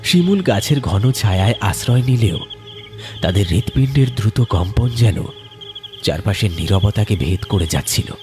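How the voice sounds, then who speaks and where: A man's voice: fairly low pitch, lively intonation, moderate pace, over music. A man narrating a horror story in a theatrical, suspenseful manner. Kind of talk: dramatic scripted horror narration.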